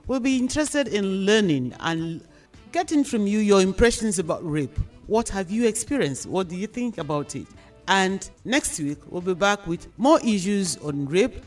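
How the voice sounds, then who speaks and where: A woman's voice: lowish voice, a little mellow. A mature woman with a Nigerian accent hosts a radio panel, speaking in formal, emphatic phrases and addressing her listeners. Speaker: radio discussion host.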